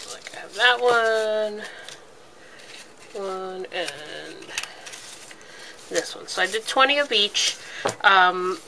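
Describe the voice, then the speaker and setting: A woman's voice: medium pitch, pushed and bright, slight background noise, poor audio. A woman with a stuffy, congested voice from a cold, chatting casually through a step-by-step craft tutorial with plenty of ums. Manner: chatty, lots of ums, talks while working with her hands. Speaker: craft video host.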